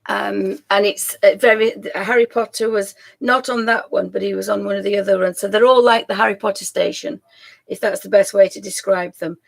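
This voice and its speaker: Croaky, gravelly woman chatting on stream. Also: medium pitch, fairly even intonation, a little fast. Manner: chatty, rambling, often laughing and trailing off. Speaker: live-stream host. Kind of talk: casual live-stream chat.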